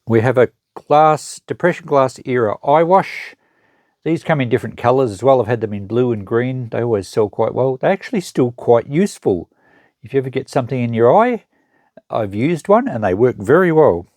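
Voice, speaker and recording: A man's voice: mid-range voice, lively intonation, moderate pace, a little mellow, dry recording, studio quality. An Australian man hosting an unboxing, talking casually and matter-of-factly as he thinks aloud and shows items. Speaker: host of an unboxing channel, secondhand shop seller. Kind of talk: casual unscripted show-and-tell commentary.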